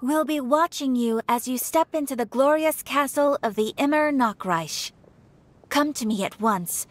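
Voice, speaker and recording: A woman's voice: high voice, a little slow. A woman playing a polite, composed guild receptionist in a video game, with a courteous, formal delivery. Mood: grandly reciting.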